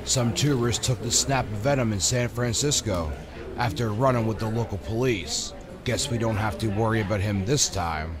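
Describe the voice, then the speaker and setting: A man's voice: fairly low pitch, normal speed, a crowd in the background, close to an everyday voice. A man playing a matter-of-fact newspaper colleague in a motion comic, conversational.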